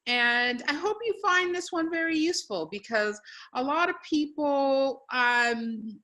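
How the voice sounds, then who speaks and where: A woman hosts a live webinar and speaks into a computer microphone. Her voice is bright and fairly high. She sounds upbeat and encouraging and often fills her pauses with "um" and "uh". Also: animated. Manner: upbeat and encouraging, with frequent fillers. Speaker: webinar host and program coordinator. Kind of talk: live educational webinar presentation.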